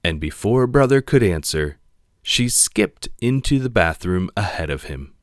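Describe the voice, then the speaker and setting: A man reading a children's picture book aloud in an expressive narrating style. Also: sits low, measured pace, no room sound, studio quality. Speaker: storybook reader.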